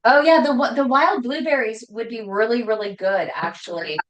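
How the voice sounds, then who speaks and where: A woman hosting a casual live cooking show from her home kitchen, talking loudly and emphatically with a voice that carries. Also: fairly high pitch, normal speed, reverberant, rough recording. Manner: loud voice that carries, chatty and emphatic. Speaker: host cooking on a live stream.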